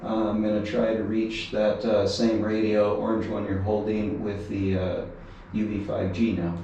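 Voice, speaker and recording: A man's voice: fairly low pitch, flat delivery, measured pace, mellow, muffled recording. A man giving a casual, unscripted gear review, hesitant and thinking aloud as he goes. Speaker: product reviewer. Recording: faint background noise.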